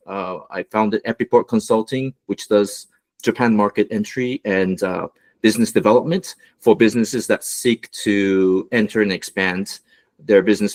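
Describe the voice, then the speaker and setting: A man from Los Angeles giving an online business presentation as a guest mentor, with a relaxed delivery and many fillers. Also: lowish voice. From United States, Los Angeles.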